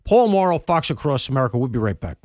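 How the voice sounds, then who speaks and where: A man's voice: mid-range voice, big pitch swings, fast, noisy background. A man with an Alabama accent hosting a talk radio show, folksy and joking as he rambles through an interview. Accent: Southern US, Alabama.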